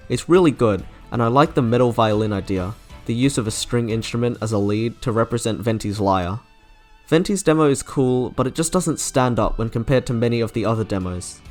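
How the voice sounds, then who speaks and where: A man delivering scripted commentary as the host of a video reviewing game character trailers. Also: mid-range voice. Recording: music under the voice. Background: background music.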